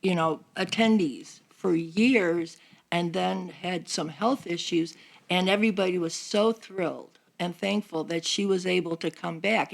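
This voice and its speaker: A woman's voice: fairly low pitch, vocal fry. An older American woman, in her sixties or beyond, chatting casually in a group conversation as a member of a seniors' group. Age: 60s or older.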